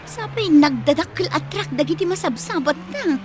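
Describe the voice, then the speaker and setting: High-voiced young Filipina girlfriend. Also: lively intonation, normal speed, noisy background. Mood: nervous, scolding. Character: sweet, anxious young nurse girlfriend. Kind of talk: radio drama acting.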